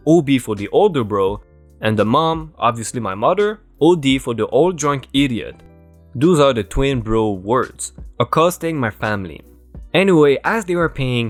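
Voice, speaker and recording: A man narrating Reddit stories aloud in a lively, sing-song reading voice. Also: medium pitch. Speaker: story narrator.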